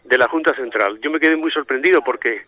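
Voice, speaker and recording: A man's voice: medium pitch, fast talker, sharp tone, dry recording. A middle-aged man from Spain with a Spanish accent, a priest answering interview questions reflectively, often hesitating and repeating as he searches for words. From Spain, raised in Madrid, born in Santomera, Murcia. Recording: dull, lo-fi phone-like link.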